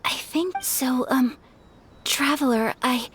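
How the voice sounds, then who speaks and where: A woman's voice: sits high, slow, a little hiss or hum. A woman playing a refined young noblewoman in a video game, polite, earnest and a little shy. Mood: nervous. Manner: polite, soft-spoken, hesitant, formal.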